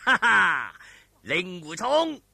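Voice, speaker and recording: A man acts out a menacing male martial arts villain from an oral storybook tale. He taunts and gloats in a high, forceful, husky bellow, dragging out his words. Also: huge pitch swings, slow, no echo, exaggerated voice. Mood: gloating.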